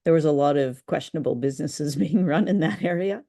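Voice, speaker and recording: A woman's voice: low voice, a little fast, dark tone. A woman co-hosting a casual review show, talking with gushing enthusiasm about what she has heard. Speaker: podcast co-host reviewing old radio plays.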